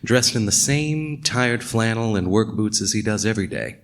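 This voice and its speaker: A man's voice: on the low side, lively intonation, moderate pace. An actor playing a world-weary, sardonic male narrator, delivering scripted noir narration in a radio play. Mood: wry, brooding.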